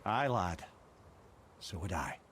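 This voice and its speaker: A wry older Scottish sage, telling tales with lively, swinging intonation. His speech is eloquent, dramatic and old-fashioned, in the manner of a fantasy game companion, over clearly noisy boat and water ambience. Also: low voice, slow pace, heavily acted. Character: wry, learned older male storyteller companion. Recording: engine-like ambient noise.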